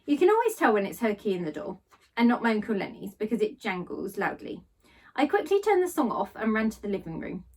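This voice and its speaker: A woman reading a children's story aloud in an expressive, animated storytelling style. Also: mid-range voice, fairly quick, recorded from a distance. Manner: animated read-aloud delivery. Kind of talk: expressive storybook narration in first person.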